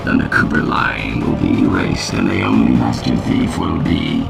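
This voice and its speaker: An actor playing an ancient, vengeful robotic owl villain in a video game, gravelly, pompous and coldly menacing. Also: male voice, extremely low pitch, normal speed, exaggerated voice. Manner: pompous, grandiose. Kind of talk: video game cutscene acting. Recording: loud music behind.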